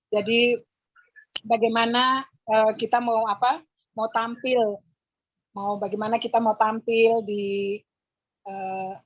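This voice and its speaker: A fairly high, husky woman's voice, worn from a long session, with an East Javanese Indonesian accent. She works through her tips patiently and keeps turning to her audience of women. The sound is dry and muffled, like a lo-fi video call. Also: no room sound. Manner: talks through numbered tips, often addressing listeners as ladies. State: tired, strained throat. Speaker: public speaking trainer. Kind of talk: teaching an online class for women.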